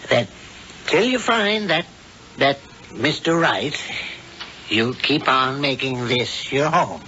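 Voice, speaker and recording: An actor playing a folksy, fatherly husband with a sinister streak, smoothly persuasive, in a 1970s radio drama. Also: medium pitch, animated, slow pace, sharp tone. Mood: folksy, coaxing. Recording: dull, noisy old radio drama recording.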